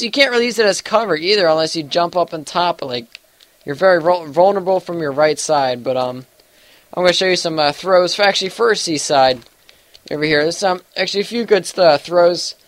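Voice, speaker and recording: A man's voice: high voice, a bit bright, no echo. A young man with a congested, sniffly voice giving casual gameplay commentary, rambling with lots of fillers and hedges. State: congested with allergies. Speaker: gaming YouTuber.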